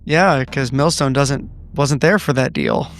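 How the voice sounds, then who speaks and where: A man's voice: mid-range voice, measured pace, creaky. A man playing a tabletop horror game, casually narrating and asking about his character.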